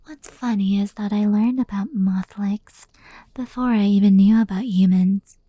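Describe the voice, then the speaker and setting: A woman playing a gentle fox-demon yokai in an ASMR roleplay, telling stories slowly and intimately in a soft, breathy whisper. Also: medium pitch, steady tone, natural voice. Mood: hushed storytelling. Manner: slow, intimate, unhurried.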